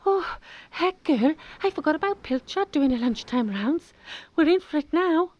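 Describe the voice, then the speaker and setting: A woman's voice: sits high, animated, normal speed, dull audio, voice pushed up. A woman playing a brash, breezy teenage schoolgirl with a Welsh accent and slangy talk in a radio drama. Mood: alarmed. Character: bold, brash, cheerful big teenage schoolgirl best friend.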